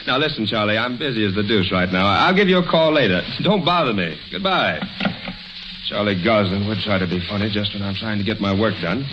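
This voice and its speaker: Blustery, exasperated American lawyer played by an actor, wildly swooping voice. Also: male voice, fairly low pitch, lively intonation, fairly quick, close to an everyday voice. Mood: exasperated, suspicious. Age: middle-aged. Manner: blustery, flustered, easily exasperated. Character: busy, exasperated middle-aged male small-town lawyer. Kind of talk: 1940s radio comedy acting. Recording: noisy, muffled old radio recording.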